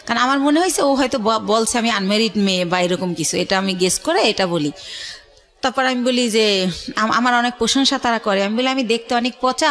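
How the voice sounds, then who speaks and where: High-voiced Bangladeshi woman telling her story. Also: a bit bright. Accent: colloquial Bangladeshi. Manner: conversational narration that acts out reported dialogue. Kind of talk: personal storytelling in a radio interview.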